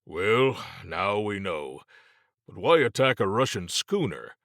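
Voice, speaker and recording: Man as stolid, skeptical agent, slow delivery. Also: lowish voice, sing-song, fairly bright tone, no room sound, studio quality, exaggerated voice. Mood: grim, puzzled. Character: gruff, skeptical male agent, stolid and practical. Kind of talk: audiobook character dialogue.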